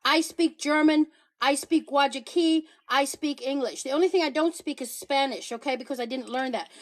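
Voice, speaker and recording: Woman host, high voice, sassy emphatic delivery, playful accent switches; casual phone livestream. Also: moderate pace. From Paraguay. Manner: sassy, emphatic, pleading and teasing the viewers. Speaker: livestream host promoting products.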